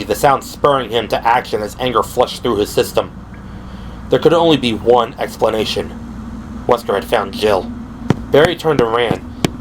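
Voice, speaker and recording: A man reading dramatic scripted narration for a horror audio drama. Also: medium pitch. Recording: clearly noisy background. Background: ambient sound effects.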